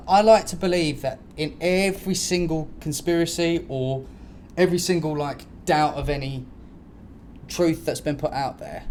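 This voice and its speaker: A man with a fairly high-pitched voice chats casually on a comedy podcast. He rambles through his points in slangy, sweary language. There is noticeable noise in the recording. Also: darkish tone. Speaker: podcast co-host. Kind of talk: casual comic podcast chat. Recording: clear background noise.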